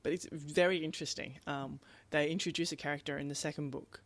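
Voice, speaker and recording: A woman's voice: low-pitched, steady tone, fast. A woman chatting casually about books as a podcast co-host, informal and conversational, often voicing her own thoughts as quotes. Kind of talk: casual book discussion chat.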